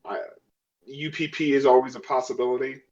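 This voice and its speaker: A man voicing a guarded, sardonic woman colonial marshal in a tabletop game, in a dry, measured manner. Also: mid-range voice, steady tone, darkish tone, lightly acted. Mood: dismissive, cool. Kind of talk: in-character tabletop role-play. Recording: dull lo-fi audio.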